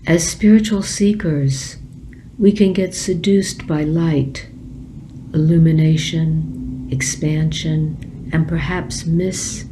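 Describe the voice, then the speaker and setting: A woman leading a guided meditation as a teacher, speaking gently and leaving long pauses. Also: low voice, background noise.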